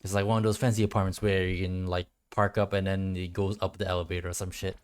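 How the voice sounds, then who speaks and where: A man's voice: deep voice, flat delivery, fast, clean tone. A man streaming a game with casual solo commentary, often muttering to himself and casually swearing.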